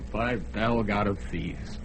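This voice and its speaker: An actor playing a cunning, boastful master thief in a vintage radio drama, chuckling and giving orders. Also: male voice, lowish voice, fairly bright tone, a little hiss or hum, low-quality recording. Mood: sly. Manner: chuckling, scheming, commanding.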